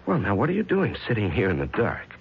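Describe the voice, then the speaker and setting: An American actor playing a murderous judge and husband, deliberate and patronizing, in 1970s radio drama style. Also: male voice, on the low side, huge pitch swings, creaky, full character voice. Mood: casual, concerned. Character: outwardly calm murderous judge, a middle-aged husband. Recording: muffled, noisy 1970s radio recording.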